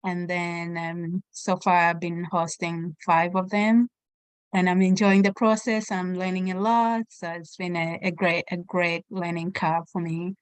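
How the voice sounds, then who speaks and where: A woman from Tanzania speaking on a video call in a cheerful, friendly manner. Her voice is smooth and resonant, and the sound is dry and close. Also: on the low side, little pitch movement, unhurried, no echo. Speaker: event host and panelist. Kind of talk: casual self-introduction on a call.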